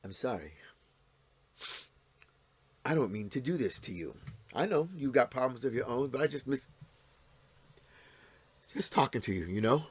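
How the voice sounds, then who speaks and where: An actor playing a troubled, wounded, anxious husband in an audio play, speaking in a halting, confessional way. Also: male voice, medium pitch, sing-song, slightly rough. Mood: subdued, hesitant. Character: troubled, wounded, anxious estranged husband, brooding and self-pitying.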